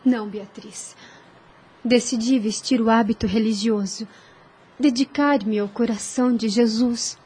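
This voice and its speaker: Soft-spoken devout young nun, breathy mellow voice, radio play. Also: female voice, on the high side, fairly even intonation, measured pace. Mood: earnest. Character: devout young woman becoming a nun, gentle and earnest. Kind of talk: radio drama acting.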